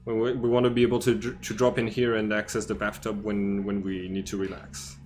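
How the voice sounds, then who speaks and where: A man's voice: monotone, a little fast, a little mellow, soft background music, close to an everyday voice. A man voicing a weary male engineer in a tabletop game, grumbling and exasperated. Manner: grumbling, exasperated, low-key. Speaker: non-player character.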